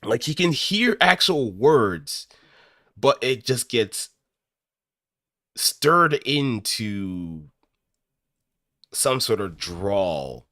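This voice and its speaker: A man chatting casually as a streamer, talking in an animated, rambling way. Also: mid-range voice, very slow, long pauses, no room sound, studio quality. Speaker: streamer practicing voice acting. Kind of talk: casual livestream chat.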